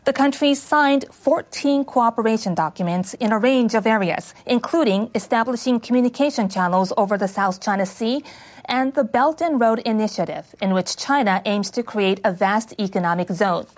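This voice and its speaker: A woman's voice: on the high side, normal speed. A woman reading scripted international news for radio as a newsreader. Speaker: news reader.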